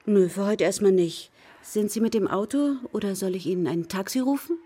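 A woman's voice: medium pitch, animated, a little fast, mellow, breathy edge. A woman playing a well-meaning, overeager primary school teacher in a children's radio play, speaking politely and a little hesitantly. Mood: flustered, insistent. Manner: polite, hesitant, rambling, eager to please. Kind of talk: naturalistic German radio drama acting.

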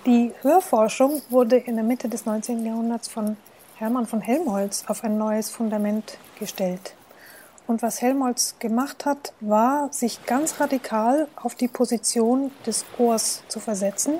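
A woman's voice: a little high, mellow, a little hiss or hum. A woman speaking as an expert in an interview, explaining things through rhetorical questions and examples.

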